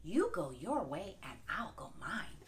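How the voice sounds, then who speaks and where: A woman's voice: lowish voice, sing-song, a little slow, slight background noise. A woman voicing a sly, hungry male wolf who puts on a falsely sweet, sing-song voice. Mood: fake-sweet.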